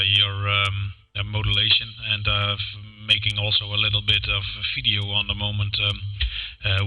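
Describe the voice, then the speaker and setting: A man whose first language is Dutch talks on an amateur radio contact, hesitating often with fillers. His lowish voice has a very bright, sharp edge. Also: rough recording.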